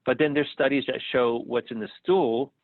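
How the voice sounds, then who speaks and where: A middle-aged American man, a guest on a podcast interview, talking in a relaxed way and often repeating words while he thinks. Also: mid-range voice, normal speed, no echo. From United States. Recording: tinny phone-like line.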